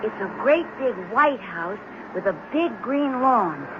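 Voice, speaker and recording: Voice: mid-range voice, animated, unhurried, close to an everyday voice. An actor playing an earnest, determined ten-year-old runaway boy in a 1970s radio drama. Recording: muffled noisy 1970s radio broadcast.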